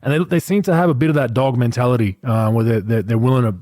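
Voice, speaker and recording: A man in his late thirties hosting a podcast, chatting about sport in a relaxed, loose way. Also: mid-range voice, fast talker, dry recording, studio quality. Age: 30s. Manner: relaxed, chatty, frequent restarts. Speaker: podcast host. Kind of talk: casual sports chat.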